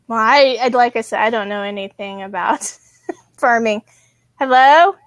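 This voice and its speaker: Woman host, bright higher voice, dry close sound, casual chatty delivery. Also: measured pace, no echo. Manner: chatty, laughs often, many ums. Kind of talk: casual live video chat.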